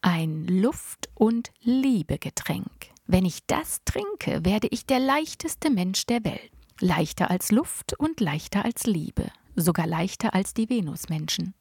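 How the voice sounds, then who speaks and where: A woman voicing a cheerful, eccentric old grandfather inventor, reading him aloud with playful excitement from a children's storybook. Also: medium pitch, slow pace, husky, no room sound, clean studio sound, heavily acted. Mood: excited.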